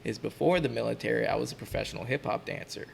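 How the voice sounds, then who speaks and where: A man's voice: medium pitch, quick pace, creaky, slightly rough, in a large hall. A young man, a podcast guest, telling stories in a laid-back, slangy way and laughing often. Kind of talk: casual chat.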